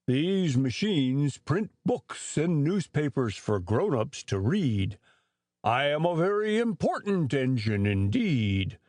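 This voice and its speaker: A man's voice: fairly high pitch, darkish tone, dry recording, clean studio sound. A man playing a big, haughty freight engine in a children's storybook reading, pompous and bellowing.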